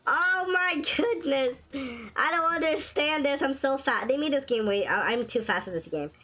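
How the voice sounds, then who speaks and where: Raspy-voiced excitable gaming host. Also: female voice, sits high, normal speed. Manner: excitable, shrieks and laughs at wins and deaths. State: sick, sore throat. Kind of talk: casual gameplay commentary. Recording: faint game music behind.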